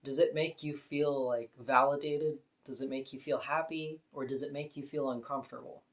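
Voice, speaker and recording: A woman with a deep voice, talking frankly and casually to camera in an opinion vlog, now and then laughing softly. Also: steady tone, normal speed. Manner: frank, conversational, with soft laughs. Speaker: vlogger.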